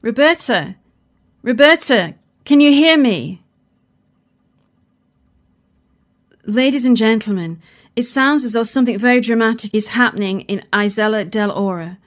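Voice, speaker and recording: An actress playing a formal, earnest female news anchor in a science fiction radio play, speaking in measured phrases. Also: fairly high pitch, lightly acted. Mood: urgent, concerned. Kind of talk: scripted radio news acting.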